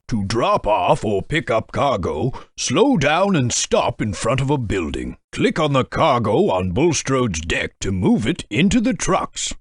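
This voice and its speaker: A kindly, proper railway director for a children's game; husky, very lively pitch, dry studio sound. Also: male voice, dry recording, heavily acted. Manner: encouraging, formal, patient instruction. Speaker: in-game guide giving instructions. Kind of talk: game voice clips.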